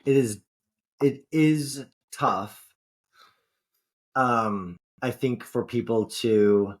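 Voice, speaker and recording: A man co-hosting a casual podcast, thinking aloud with many fillers and restarts and casual swearing. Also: medium pitch. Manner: slow, searching, with frequent restarts and fillers. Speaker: podcast co-host.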